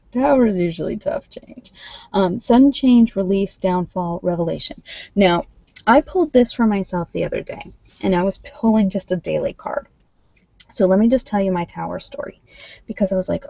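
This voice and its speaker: A woman chatting casually as a livestream host reading cards, thinking aloud in an informal, halting way, on dull lo-fi audio. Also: medium pitch, creaky, mellow, no echo. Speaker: livestream host doing tarot card readings. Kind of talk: casual live chat with viewers, card reading. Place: at home, indoors.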